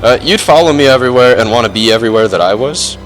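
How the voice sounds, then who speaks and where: A young man giving an informal best man speech at a wedding reception, halting, with dry, self-deprecating humor. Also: medium pitch, animated, a bit bright, soft background music, rough recording. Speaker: best man, groom's older brother. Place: wedding reception, speaking to guests.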